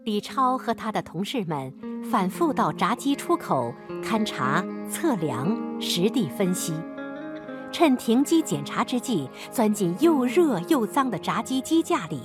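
Animated female narrator over music. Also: medium pitch, measured pace. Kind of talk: dramatic scripted narration for radio drama.

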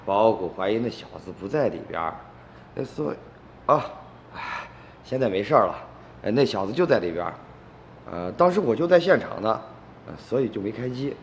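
A man playing a brash, irritable crook with a gruff, scoffing, colloquial way of talking in a radio drama. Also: on the low side, sing-song, fairly quick, in a large hall, lo-fi sound, slight background noise. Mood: nervous, placating. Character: brash, irritable adult male crook, second in a small gang.

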